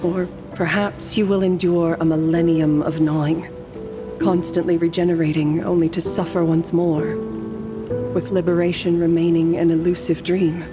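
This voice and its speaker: A woman voicing a serene, millennium-old painter and guardian in a video game, calm, formal and lyrical. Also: on the low side, moderate pace, natural voice. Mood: solemn, foreboding. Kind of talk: poetic scripted game dialogue. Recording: music under the voice.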